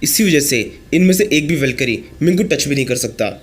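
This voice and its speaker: A hoarse man narrating a comic story recap as the channel's host. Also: on the high side, expressive, fairly quick. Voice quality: husky.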